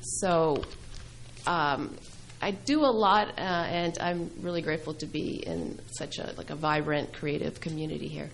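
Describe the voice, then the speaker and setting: A middle-aged woman who grew up speaking Greek, a poet answering interview questions thoughtfully, with many pauses and fillers. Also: sits low, vocal fry, a little hiss or hum, rough recording. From New England. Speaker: poet and filmmaker guest.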